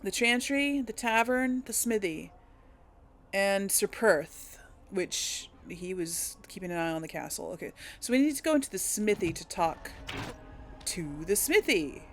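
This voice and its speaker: A woman's voice: medium pitch, expressive, a little slow. A woman giving casual, musing game commentary, thinking aloud and speaking replies in character. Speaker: gaming video host.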